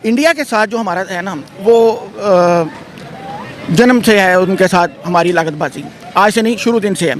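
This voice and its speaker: A man's voice: sits high, normal speed, some chatter behind. A man giving emphatic, decisive opinions in a street interview. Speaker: member of the public being interviewed. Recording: outdoor street noise, passing vehicles.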